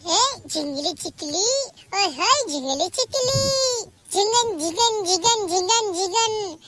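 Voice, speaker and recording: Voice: very high-pitched, lively intonation, slow pace, exaggerated voice. A performer voicing a playful small child in an amateur cartoon comedy, chanting in singsong nonsense rhymes. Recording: very noisy recording with clicks.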